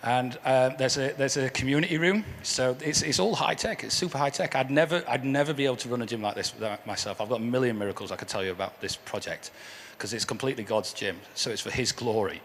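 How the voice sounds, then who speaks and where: A man with a hoarse, croaky voice gives an earnest church testimony as a guest speaker, often repeating words as he restarts. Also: medium pitch, fast, fairly bright tone, a little hiss or hum. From England. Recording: echoey hall with faint ambience.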